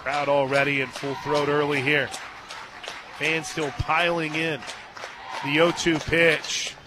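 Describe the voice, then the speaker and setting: Higher-pitched male softball play-by-play announcer. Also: little pitch movement, slow pace. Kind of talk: live softball radio commentary. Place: stadium radio booth. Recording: crowd noise behind. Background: ballpark crowd and music.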